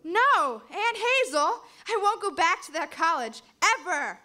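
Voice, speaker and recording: A woman's voice: extremely high pitch, sing-song, unhurried, sharp tone, soft background music, heavily acted. An actress playing an unstable, childish college girl, secretive and defiant, in old-time radio melodrama. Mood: distressed, defiant. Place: live stage performance at a convention.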